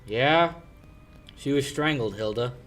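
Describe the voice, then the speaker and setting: A man's voice: mid-range voice, takes it slowly, fairly bright tone, soft background music. Young man acting a stubborn lawyer, brash delivery, old-time radio drama style, youthful male voice. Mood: grave, measured. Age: young adult. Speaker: high school actor.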